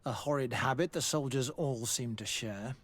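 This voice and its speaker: An actor playing an evasive, formal army doctor in a video game, hesitant and deferential. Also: male voice, medium pitch, normal speed, lightly acted. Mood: formal, defensive. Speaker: military doctor. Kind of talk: dramatic video game acting.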